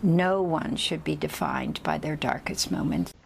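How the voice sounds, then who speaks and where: A woman's voice: low voice, wildly swooping pitch, a little slow, gravelly, a little hiss or hum. A woman speaking earnestly and thoughtfully for a nonprofit, with small restarts. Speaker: nonprofit representative. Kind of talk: interview remarks.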